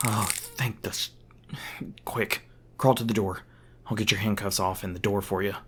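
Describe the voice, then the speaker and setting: A man playing an edgy, protective young hunter in an audio roleplay, earnest, urgent and halting. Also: lowish voice, steady tone, a bit bright, slight background noise, close to an everyday voice. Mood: frantic. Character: edgy, anxious young male hunter, protective and foul-mouthed.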